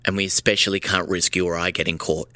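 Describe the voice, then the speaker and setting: Tense, low-voiced fidgety man played by an actor. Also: little pitch movement, fast, bright, forceful tone, no room sound. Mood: urgent, nervous. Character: fidgety, guilt-ridden man plotting to undo his damage. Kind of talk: audio drama acting.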